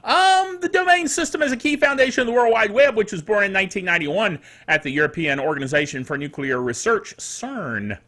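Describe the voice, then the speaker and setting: Animated, high-pitched middle-aged man with dry throat. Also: brisk, few pauses. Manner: playful, quirky, theatrical asides. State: dry, slightly rough throat. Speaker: host of a tech news show. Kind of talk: chatty solo tech news commentary.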